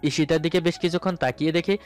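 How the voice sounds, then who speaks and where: A man tells a first-person romantic story in dramatic narration. His voice sits a little high, with soft music playing behind it. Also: steady tone, fast. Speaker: story narrator and male lead. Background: background music.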